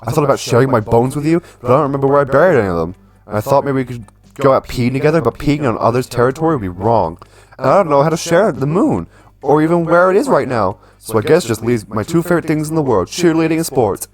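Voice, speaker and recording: A man voicing an earnest, excitable young werewolf jock from a video game, naive and bro-ish. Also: mid-range voice, fast talker, crowd noise, natural voice. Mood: eager, affectionate.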